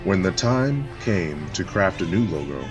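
A man's voice: deep voice, very animated, a little slow, over music. A man narrating a solemn, scripted church tribute video in a ceremonial manner. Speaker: church spokesman.

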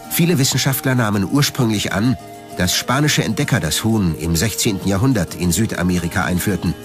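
Animated male documentary narrator over music. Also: on the low side. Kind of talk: scripted narration.

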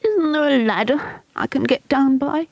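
A woman's voice: high voice, expressive, a little slow, darkish tone, no room sound, voice pushed up. A woman doing a scared little boy, pleading. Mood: frightened pleading. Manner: pleading, panicky, close to tears. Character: frightened young boy stuck up a tree.

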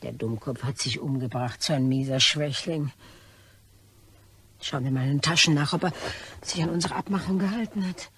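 A woman playing a callous, scornful, opportunistic schemer in a German crime radio play, with a very husky, breathy voice and a theatrical, muttering manner. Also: low-pitched, moderate pace, fairly bright tone, a little hiss or hum. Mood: scornful, scheming.

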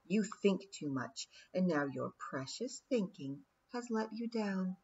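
A woman's voice: lowish voice, animated, measured pace, darkish tone, husky, highs cut off, full character voice. A woman voicing a cold, cruel fairy queen who speaks in a silky, condescending, taunting way in an audiobook. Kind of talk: audiobook character voice.

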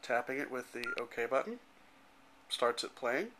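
Bright-voiced man giving a tech demo. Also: medium pitch, animated, a little slow, slight background noise, poor audio. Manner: explanatory, matter-of-fact. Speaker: software developer presenting a demo. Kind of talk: informal product demonstration narration. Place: indoor room at home. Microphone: handheld camera microphone.

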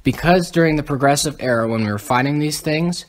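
A man's voice: on the high side, a little fast. A young man co-hosting a podcast, explaining history in a casual, lecturing way with many ums. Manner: lecturing, lists items, frequent ums. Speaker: podcast co-host.

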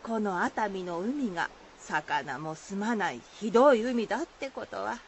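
An actress playing a troubled village shellfish diver in a folktale radio drama, earnest and humble, speaking rural Shizuoka Izu dialect. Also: female voice, mid-range voice, expressive, measured pace. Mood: wary, resigned. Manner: humble, earnest rustic speech. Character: troubled, plain-spoken village woman who dives for shellfish. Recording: faint background noise.

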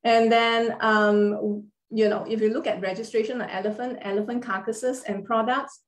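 A woman's voice: a little high, little pitch movement, normal speed. A woman giving a formal online lecture over slides, explaining laws carefully and measuredly, with occasional fillers. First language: not Thai. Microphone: video call. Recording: roomy echo on a video call.

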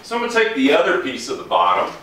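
A middle-aged man explaining woodworking steps in a relaxed, casual way while he works in a large indoor workshop. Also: medium pitch, quick pace, slight background noise. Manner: relaxed, explains each step while working. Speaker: woodworking instructor. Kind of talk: casual demonstration while working. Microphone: camera mic from a distance. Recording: echoey workshop, distant mic.

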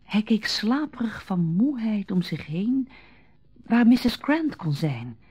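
A woman narrating a radio drama in a measured, literary storytelling manner. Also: medium pitch, very animated, dark tone, breathy edge, no echo, soft background music, full character voice.

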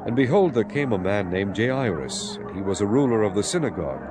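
A man with a low voice narrates scripture in a dramatized style. His intonation is varied and expressive, and quiet music runs beneath the reading. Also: brisk.

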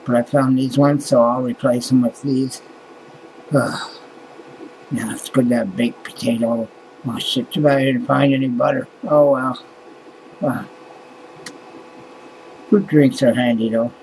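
Fifties man from Canada, tired rambling home vlog on a webcam, swears and sighs, soft hum of air conditioning. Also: mid-range voice, fairly even intonation, measured pace, long pauses, low-quality recording. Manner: mumbling, sighing, swears often, trails off. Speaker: vlogger. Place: small cluttered room indoors at night. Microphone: webcam close to face.

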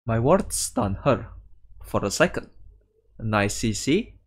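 A man streaming as a VTuber, reading a visual novel aloud and reacting live in an excitable, dramatic way. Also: lowish voice, takes it slowly, slightly rough.

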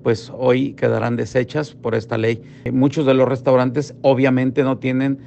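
A man's voice: medium pitch, level tone, moderate pace, gravelly, dark tone, highs cut off, a little hiss or hum. A middle-aged man speaking as a restaurant industry representative in a phone interview, hesitant with many fillers and restarts. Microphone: phone line.

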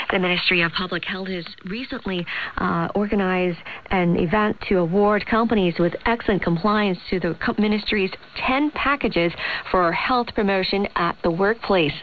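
A woman's voice: medium pitch, fairly bright tone, a little hiss or hum, rough recording. A woman presenting scripted radio news over a shortwave broadcast.

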